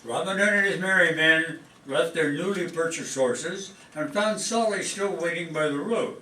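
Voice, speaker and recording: A man giving steady, plain scripted narration in a live stage radio play. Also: fairly high pitch, moderate pace. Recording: roomy hall with faint noise.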